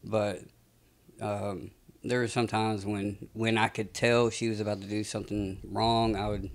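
A man's voice: on the low side, unhurried. A man in his late thirties from Texas, a podcast guest talking casually with lots of fillers, recorded on a studio mic. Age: 30s. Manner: relaxed, rambling storyteller with many fillers. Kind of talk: casual interview talk. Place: small podcast studio indoors. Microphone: studio mic on a boom arm.

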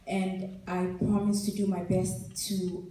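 A woman's voice: medium pitch, flat delivery, slow, warm tone, a little hiss or hum. A woman from Ghana giving an earnest, grateful acceptance speech on stage. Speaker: award winner giving a speech. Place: large hall with stage. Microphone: stage microphone recorded from the audience. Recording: echoey hall from far off.

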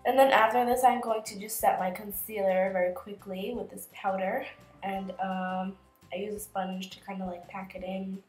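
A woman's voice: mid-range voice, little pitch movement, moderate pace. A woman in her twenties, sick with a cold, chatting through a makeup tutorial in a small bedroom, talking into a camera close in front of her. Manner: chatty, filler-heavy, explains each step. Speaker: beauty vlogger. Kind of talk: casual makeup tutorial narration. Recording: roomy bedroom echo, faint music behind.

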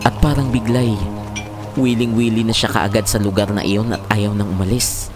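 Low-pitched male horror story narrator. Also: level tone, normal speed. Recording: loud music bed behind voice.

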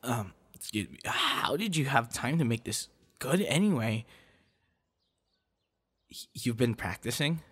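A young man playing a playful, affectionate boyfriend in an ASMR audio roleplay, with a teasing, encouraging, slightly halting delivery. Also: medium pitch, very animated, slightly rough, exaggerated voice. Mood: delighted. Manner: teasing, encouraging, halting with soft laughs and gasps. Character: playful, affectionate young male voice actor on a date.

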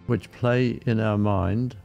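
A man leading a guided meditation in a measured, reflective manner with frequent pauses. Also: on the low side, steady tone, vocal fry, darkish tone, dull audio, faint music behind.

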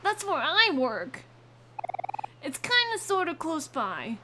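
A woman voicing a cheerful, eager young boy from a video game, with a squeaky, strained, exuberant delivery. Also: huge pitch swings, slow, heavy background noise, full character voice.

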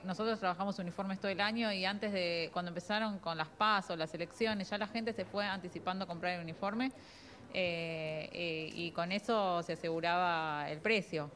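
A fairly low-voiced Argentine woman in her forties speaks on a phone from inside a crowded clothing shop. She talks haltingly, filling gaps with fillers and restarting phrases, with a faint hum of shop ambience behind her. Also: fairly bright tone. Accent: Rioplatense Spanish. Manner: hesitant, many fillers and restarts. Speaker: uniform shop owner. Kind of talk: live TV interview by phone. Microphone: phone held to ear.

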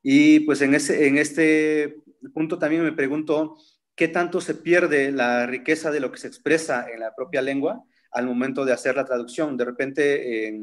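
A man's voice: on the high side, steady tone, normal speed. A man in his thirties, a native Zapotec speaker, talking thoughtfully in a seminar over a laptop video call, with many fillers and restarts. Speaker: seminar participant. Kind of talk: reflective conversational talk. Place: room at home with framed pictures. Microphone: laptop webcam on a video call.

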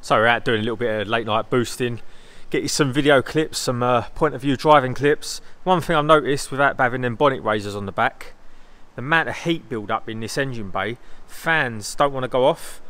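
Guy rambling about his car on a phone cam at night, eager, loose, middling pitch. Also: a bit bright. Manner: enthusiastic, rambling, car jargon. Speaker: car vlogger. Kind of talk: casual car vlog commentary. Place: in and around cars outdoors at night, engine noise. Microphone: phone camera held by the speaker.

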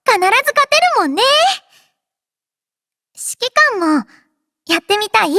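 A woman's voice: very high voice, animated, measured pace, pushed and bright, no echo, studio quality, heavily acted. A woman voicing a cheerful, childlike android girl in an anime game, playful and boastful in manner. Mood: bright, curious. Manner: playful, childlike, refers to herself by name. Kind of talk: anime game character voice lines. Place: dry studio.

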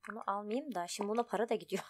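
A woman's voice: medium pitch, very fast, darkish tone. A woman giving casual, chatty let's play commentary, thinking aloud as she goes. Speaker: gaming video host.

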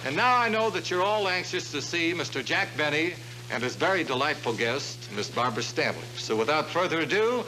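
A man's voice: a little high, lively intonation, fairly quick, background noise. A young man making a formal stage introduction on a vintage television show. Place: curtained studio stage. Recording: vintage 1950s TV soundtrack.

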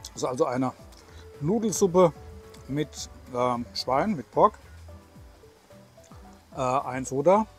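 A man's voice: on the high side, lively intonation, slow pace, long pauses, faint music behind. A man in his sixties from Germany chatting and explaining as he hosts a casual travel vlog. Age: 60s. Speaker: vlog host.

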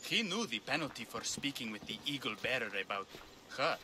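An actor playing a menacing enforcer of an ancient priesthood in a video game, smugly polite and threatening. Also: male voice, medium pitch, very animated, a little fast, noisy background, lightly acted. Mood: cold, threatening. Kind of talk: video game drama acting.

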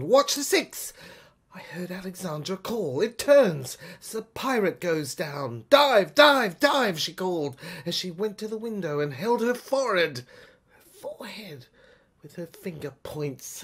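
Female narrator for dramatic audio story: unhurried, halting delivery with very mobile intonation and repeated phrases. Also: fairly low pitch, animated, husky.

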